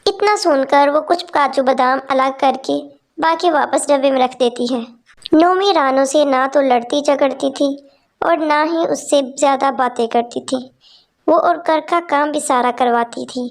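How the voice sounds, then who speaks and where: A woman's voice: high-pitched, unhurried. A woman narrating a cartoon story in a plain storytelling tone.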